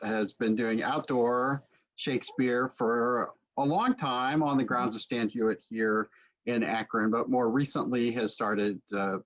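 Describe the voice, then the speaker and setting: A man's voice: medium pitch, lo-fi sound. A middle-aged American man asking questions conversationally as an interviewer on a video call. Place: book-lined home room, video call.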